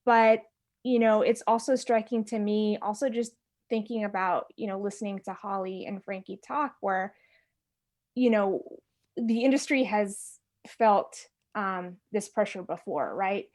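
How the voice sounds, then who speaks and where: A woman's voice: mid-range voice, measured pace, a little mellow. A woman, a guest on a radio talk show, speaking in a reflective, conversational way. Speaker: guest, researcher. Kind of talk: radio interview answers.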